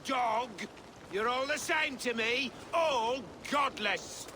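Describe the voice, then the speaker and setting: A proud medieval king in a game drama, very high in pitch and slow, declaiming with haughty scorn for pagans. Clear outdoor noise surrounds his voice. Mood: scornful. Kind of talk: dramatic game cutscene acting. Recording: noisy outdoor ambience behind.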